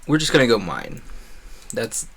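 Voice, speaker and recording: A man's voice: medium pitch, very animated, normal speed, a bit bright, husky. A man doing casual video game stream commentary, rambling playfully and thinking aloud with a self-deprecating streak.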